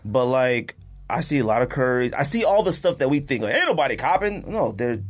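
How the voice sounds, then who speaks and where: A man hosting a casual sneaker and sports podcast, talking in a slangy, animated way and often repeating himself for emphasis. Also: medium pitch, brisk. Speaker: podcast host.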